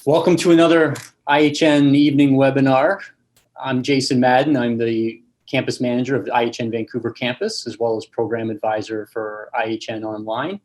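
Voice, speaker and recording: A young adult man hosting a webinar over a video call, reading a formal speaker introduction and giving relaxed housekeeping notes. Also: medium pitch, brisk. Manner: reads a formal introduction, then casual housekeeping with fillers. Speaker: webinar host, campus manager.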